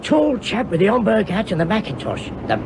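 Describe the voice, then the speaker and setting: A man's voice: high-pitched, very animated, brisk, vocal fry, full character voice. An actor playing a cheerful, loyal male sidekick who talks in chirpy British slang in an old radio serial. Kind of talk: 1940s radio acting. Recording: noisy old radio recording.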